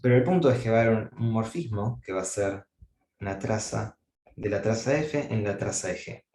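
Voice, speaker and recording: A man's voice: fairly low pitch, normal speed, darkish tone. Man lecturing in an echoey room. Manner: thinking aloud, frequent "eh" fillers and restarts. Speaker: mathematics lecturer. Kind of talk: academic lecture at a whiteboard.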